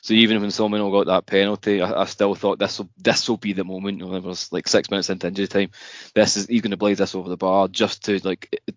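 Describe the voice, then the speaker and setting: A young Scottish man talks casually as a podcast guest, his low voice tumbling through fillers and restarts. The sound is dry and a bit dull, with no room around it. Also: level tone, quick pace, no echo. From Scotland. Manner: chatty, many restarts and fillers.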